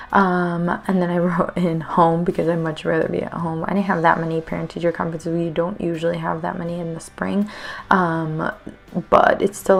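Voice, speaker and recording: A woman's voice: on the low side, gravelly. A woman in her thirties, a teacher, rambling casually with many fillers in a voice-over for her planner video, her voice a little worn. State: slightly losing her voice.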